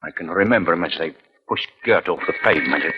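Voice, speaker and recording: An actor playing an agitated, embittered assault victim burning for revenge, halting and then bursting out, in an old-time radio drama. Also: male voice, low voice, noisy background, lightly acted. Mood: hesitant, tense. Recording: muffled old radio broadcast.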